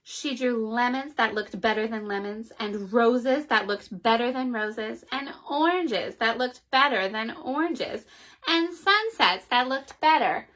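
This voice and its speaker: A mother of four reading a children's picture book aloud from a child's bedroom at home, in a friendly, expressive way. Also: fairly high pitch, measured pace. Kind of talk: children's story read-aloud.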